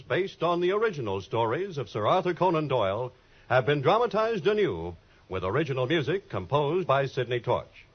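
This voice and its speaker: Casting: male announcer, formal, measured, lively intonation, muffled vintage radio. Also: mid-range voice. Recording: dull old radio recording.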